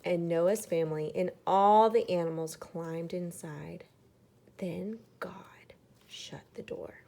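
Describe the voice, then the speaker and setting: Slow-paced woman reading a children's story. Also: lowish voice, steady tone, vocal fry, slight background noise. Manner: dramatic, with hushed emphasis. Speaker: storybook reader. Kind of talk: expressive children's story read-aloud.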